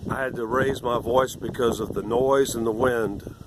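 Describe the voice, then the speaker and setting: A man in his sixties with a rough, irregular voice, rambling and lecturing condescendingly in a video monologue. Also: medium pitch, fairly even intonation, moderate pace, background noise. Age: 60s. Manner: condescending, lecturing, meandering with restarts. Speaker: vlogger.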